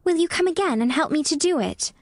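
An eager little storybook girl acted by a woman, earnest and a touch anxious. Her voice is very high and swoops with lively, wide-eyed intensity, recorded dry and close. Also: moderate pace, no echo. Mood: pleading.

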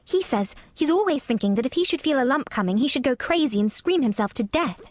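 A woman's voice: sits high, animated, fairly quick, no room sound, clean studio sound, pitched above the performer's own voice. A woman voicing an eager, earnest young English girl in a storybook, with proper, careful child's diction. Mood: worried.

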